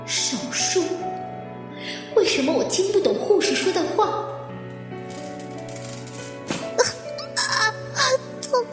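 A woman playing an injured fire survivor in an audio drama, with a dramatic, deliberate delivery. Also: extremely high pitch, very animated, exaggerated voice. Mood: anguished, frantic. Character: injured woman fire survivor, anguished then coolly guarded, narrating her ordeal. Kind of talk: Chinese audio drama acting with introspective narration. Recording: echoey hall-like reverb, music underneath.

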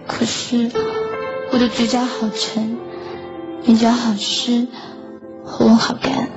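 A soft, wistful teenage schoolgirl telling her story in an audio drama, voiced by a woman. Her voice sits a bit high. The sound is dull and lo-fi, with clear music underneath. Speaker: lead and narrator. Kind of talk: audio drama acting and narration.

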